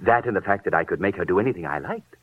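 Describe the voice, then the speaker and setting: An actor playing a smug, nervous rich murderer in a radio noir drama, fussy and fluttery in manner. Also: male voice, deep voice, expressive, very fast, husky. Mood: gloating. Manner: fussy, fluttery, self-satisfied. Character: smug, nervous, wealthy male murderer paying off blackmail. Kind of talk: 1940s radio noir acting. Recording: narrow old radio recording.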